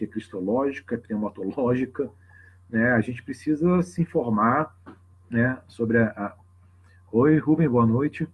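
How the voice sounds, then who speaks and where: A husky-voiced Brazilian man with a mid-range pitch teaches informally on a livestream. He talks off the cuff in a conversational way, dropping in frequent tag questions. The audio is slightly dull and lo-fi. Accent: Brazilian Portuguese. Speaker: religious teacher hosting a live open class. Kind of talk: informal extemporaneous explanation to a livestream audience.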